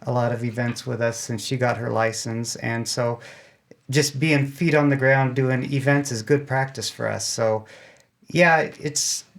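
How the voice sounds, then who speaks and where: A man's voice: mid-range voice, steady tone, measured pace, creaky. A man explaining things casually as a club guest in an interview, chatty and self-deprecating. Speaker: amateur radio club member, guest.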